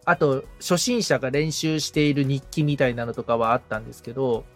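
A man's voice: mid-range voice, fairly even intonation, a little fast, soft background music. A man chatting as a podcast assistant being coached, musing and asking questions in a casually polite, tentative way.

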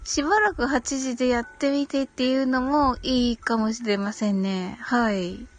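A woman's voice: fairly high pitch, moderate pace. A woman hosting a casual live audio stream, reading out listener comments and replying in a polite, agreeable way with frequent little murmurs of acknowledgement. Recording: music playing behind the voice. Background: background music.

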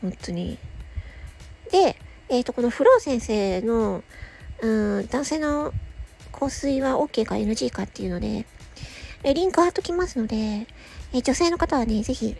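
A woman hosting a casual solo audio show, chatting playfully with self-mocking asides and a husky, slightly creaky voice. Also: a little high, lively intonation, normal speed, darkish tone, faint music behind.

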